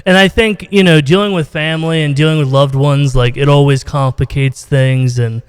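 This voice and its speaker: A man's voice: a little high, steady tone, normal speed, clean tone. An American man hosting a casual interview, talking in a rambling, informal way full of "you know.". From United States, Ohio. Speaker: podcast host, interviewer. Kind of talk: casual video-call interview. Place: indoors, reverberant room on a video call. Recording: roomy, long reverb.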